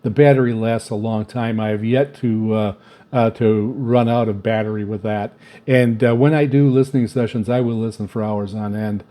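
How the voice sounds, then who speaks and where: A man casually reviewing radio gear on his channel, speaking off the cuff in a halting, repetitive way with frequent stammers and filled pauses. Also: lowish voice, fairly even intonation, warm tone. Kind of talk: casual unscripted product review.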